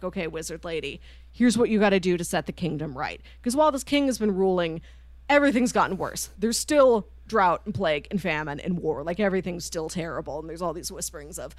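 A woman co-hosting a book podcast in casual conversation, telling stories in an animated, informal way. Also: medium pitch, dry recording. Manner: animated storyteller, acting out quoted lines informally. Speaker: podcast co-host reviewing books.

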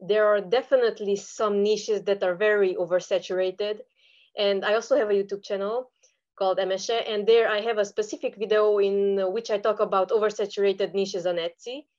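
A woman's voice: medium pitch, fairly even intonation, moderate pace, a little mellow, lo-fi sound. A woman from Romania with a Romanian accent, answering conversationally as an interview guest. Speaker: podcast guest, online seller.

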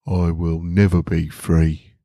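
A man voicing a colossal, resigned living statue in a storybook reading, speaking softly in a deep rumbling whisper. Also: little pitch movement, no echo, clean studio sound. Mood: hopeless. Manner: slow, soft-spoken.

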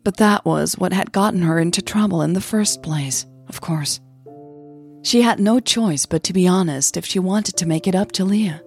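A woman's voice: lowish voice, normal speed, darkish tone, soft background music. A woman narrating a scripted audio drama as its storyteller.

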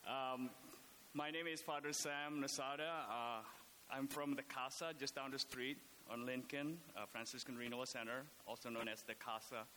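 Priest from indonesia, bright voice, lots of ums and restarts, faint hiss. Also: male voice, medium pitch, steady tone, low-quality recording. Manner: halting, many fillers and restarts. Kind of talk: casual podcast talk.

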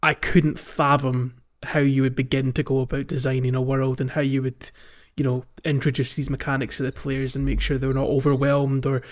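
A man co-hosting a podcast in casual conversation about video games, talking in a halting way as he thinks aloud. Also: medium pitch, level tone, dull audio. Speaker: podcast co-host. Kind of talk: casual video game discussion.

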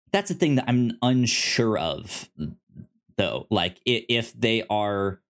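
Halting man chatting, slow delivery. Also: on the low side, animated. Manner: halting, stammering, repeats words. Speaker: podcast host. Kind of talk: casual TV review chat.

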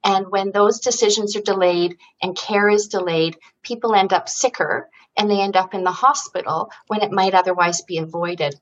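A woman's voice: mid-range voice, fairly even intonation, moderate pace, hardly any pauses, dull audio, a little hiss or hum. Earnest woman explaining senior care. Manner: articulate and earnest, fluent explanation with occasional fillers. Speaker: guest, senior care advisory service founder. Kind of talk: interview answers.

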